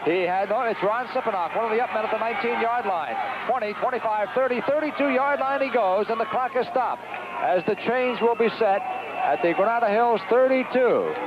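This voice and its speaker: A muffled 1970s radio broadcast carries a male American sports announcer. He calls football plays live with urgent excitement in a strikingly high-pitched voice. Heavy noise and crowd roar surround him. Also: fairly quick. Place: outdoor football stadium. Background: loud stadium crowd and noise.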